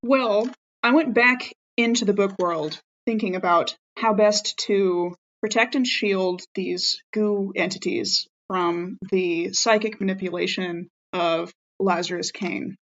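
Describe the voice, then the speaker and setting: A woman's voice: mid-range voice, fairly even intonation, takes it slowly, a bit bright, dull audio, slight background noise. A woman playing a tabletop game on a podcast, talking in the first person as her character.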